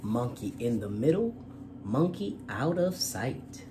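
A man's voice: medium pitch, big pitch swings, slow, background noise. A man presenting and reading aloud as host of a children's storytime, with a courteous manner. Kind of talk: storytime read-aloud and channel chat.

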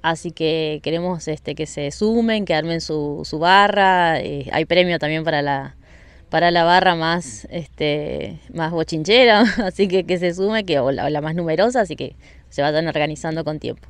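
A woman, her voice sitting on the low side, is interviewed outdoors on a riverside beach. She speaks friendly and unhurried into a handheld mic, with many fillers and restarts. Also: clean tone, no room sound. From Argentina. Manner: friendly, with frequent fillers and repeated words. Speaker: event organizer. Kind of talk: street interview. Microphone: handheld mic held by interviewer.

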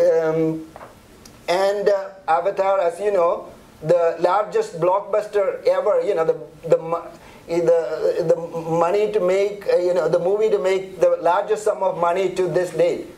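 Middle-aged Indian-accented man, fairly high voice, relaxed seminar lecturer who talks in a chatty way and restarts often. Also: a little slow, rough recording. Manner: chatty, conversational, many restarts and fillers. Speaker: seminar presenter.

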